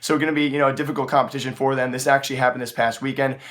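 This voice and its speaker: A hoarse young man hosting an esports news show, talking through the stories in a quick, rambling way. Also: medium pitch, level tone, a bit bright. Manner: rapid, rambling, many fillers. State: losing his voice, hoarse. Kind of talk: fast news commentary.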